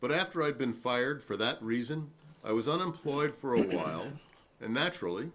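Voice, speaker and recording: A man's voice: mid-range voice, lively intonation, moderate pace, fairly bright tone. A man reading the part of a combative working-class salesman testifying at a 1950s hearing, formal, righteous and long-winded. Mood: aggrieved, explanatory. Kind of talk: dramatic reading of a hearing transcript.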